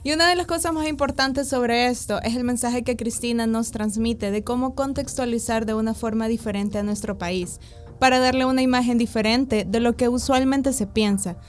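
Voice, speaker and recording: Salvadoran woman cohost, slightly high voice, reading her notes upbeat. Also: normal speed, faint music behind. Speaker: radio co-host. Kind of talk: scripted radio segment.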